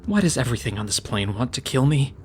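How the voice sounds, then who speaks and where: A man's voice: medium pitch, expressive, fast, faint music behind, close to an everyday voice. A man playing an earnest, determined young mage in a dramatized audio story. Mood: exasperated.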